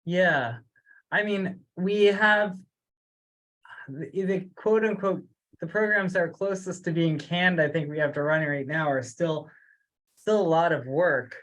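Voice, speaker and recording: A man's voice: fairly high pitch, a little slow, a bit bright, rough recording. Man thinking aloud on a call. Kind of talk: discussion on an online group call. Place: remote teleconference.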